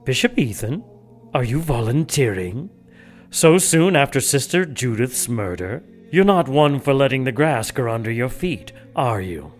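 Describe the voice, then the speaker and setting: A man's voice: mid-range voice, big pitch swings, measured pace, faint music behind. An actor playing a cold, scheming male church leader who gives orders dryly, in an audio drama. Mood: sly. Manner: dry, commanding, sly.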